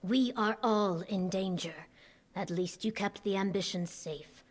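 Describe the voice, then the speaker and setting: An actress playing a grave, commanding military leader in a video game, speaking formally and with measured words. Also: female voice, mid-range voice, close to an everyday voice.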